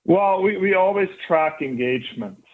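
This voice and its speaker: A man's voice: sits high, animated, normal speed. Norwegian guy in his 30s, earnest founder, lots of uhs and restarts, phone-line sound. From Norway. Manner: earnest, with frequent restarts and fillers. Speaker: startup founder guest. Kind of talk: radio interview answers. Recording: band-limited phone line.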